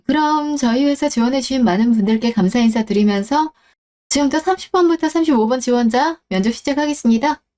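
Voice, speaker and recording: A woman's voice: high-pitched, quick pace, mellow, close to an everyday voice. A woman acting out a young woman co-CEO who interviews job applicants, speaking in a cool, composed, pointed way in a story radio drama.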